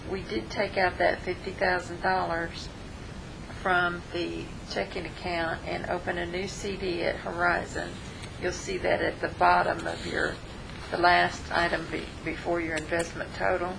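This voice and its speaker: Gravelly-voiced woman reading a treasurer's report. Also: lowish voice, level tone, a little slow. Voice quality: scratchy voice. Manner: matter-of-fact, reads out figures. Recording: noticeable background noise.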